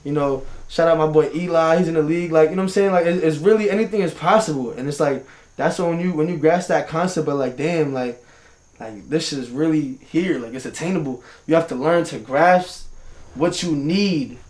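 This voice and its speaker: A man's voice: fairly high pitch, a little fast, a little mellow. A young man from Turkey, raised in Michigan and New York, talking as a podcast guest in slangy, rambling casual speech. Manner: slangy, rambling, goes on tangents, laughs often. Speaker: guest, hip hop artist. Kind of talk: casual interview chat. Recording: roomy room echo.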